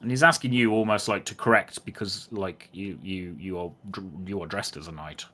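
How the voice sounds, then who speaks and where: A man narrating a tabletop game as game master, casual and halting as he searches for words. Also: sits low, fairly even intonation, bright, forceful tone.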